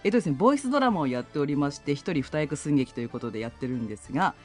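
A man's voice: fairly high pitch, animated, rapid-fire. A man speaking as a guest in a humble, courteous way, with music in the background. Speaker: guest, voice drama maker. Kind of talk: polite self-promotion.

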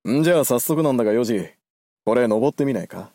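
A man's voice: medium pitch, lively intonation, quick pace, warm tone, slight background noise, close to an everyday voice. A man playing a casual senior climber coaching a junior in a voice drama trailer. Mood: inviting.

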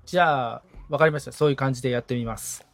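A man's voice: mid-range voice, racing, soft background music. A man in the assistant's seat, talking casually but politely, agreeable and thoughtful as he checks back with questions. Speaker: podcast assistant being coached.

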